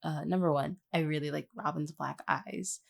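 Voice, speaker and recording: A woman's voice: deep voice, steady tone, normal speed, creaky. A woman chatting casually as a podcast guest, rambling a little as she thinks aloud. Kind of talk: casual chat.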